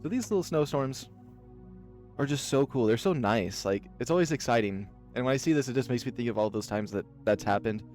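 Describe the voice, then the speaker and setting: A man's voice: mid-range voice, brisk, a little mellow, soft background music. A man from Oregon hosting a let's play, telling rambling anecdotes in a casual way, full of fillers. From Oregon, USA. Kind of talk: casual storytelling while playing a visual novel.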